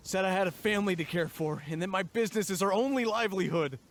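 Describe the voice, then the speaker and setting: A man's voice: sits high, fast talker, slight background noise. An actor playing a frightened merchant and family man in an English video game, with a nervous, pleading manner. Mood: shaken, recounting. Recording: game music behind the voice.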